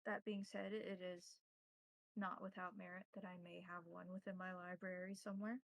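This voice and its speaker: Woman as a formal, creaky-voiced vampire mentor. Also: fairly low pitch, level tone, no room sound, lightly acted. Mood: measured. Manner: formal, deliberate, instructive. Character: measured, formal elder vampire mentor, cautious.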